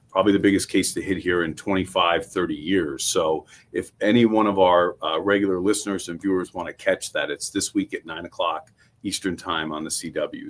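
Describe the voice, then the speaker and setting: American male co-host with a prosecutor's background, low voice, measured and explanatory legal talk. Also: a bit bright. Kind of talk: legal commentary in casual talk.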